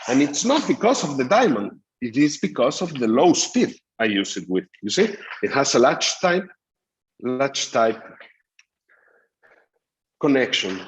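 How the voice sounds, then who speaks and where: Halting middle-aged man teaching a class. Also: medium pitch, very animated, rough recording. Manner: explanatory, halting with frequent fillers. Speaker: dental lecturer. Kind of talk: recorded master class lecture.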